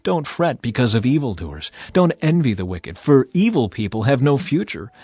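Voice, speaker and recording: A man delivers a dramatized scripture reading with wide, animated intonation. His delivery is solemn, measured and exhortative. The sound is close and dry, with music playing behind him. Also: medium pitch, slightly rough, dry recording. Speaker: scripture narrator. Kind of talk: dramatized audio Bible reading. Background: background music.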